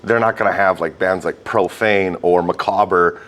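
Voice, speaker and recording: Male host, low-pitched, chatty and stop-start, repeating himself. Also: steady tone, a bit bright. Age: adult, calls himself old metalhead. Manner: halting, repeats words, chatty. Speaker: podcast host. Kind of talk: casual interview chat.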